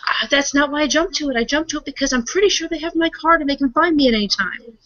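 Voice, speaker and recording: A woman's voice: high voice, quick pace, pushed and bright. A woman playing in a tabletop roleplaying session, chatting casually in character. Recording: dull lo-fi recording.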